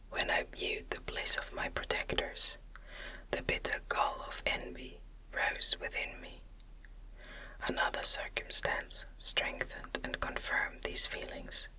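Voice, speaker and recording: A woman gently whispering a gothic novel as an ASMR audiobook narrator, in breathy, deliberate phrases. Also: low-quality recording.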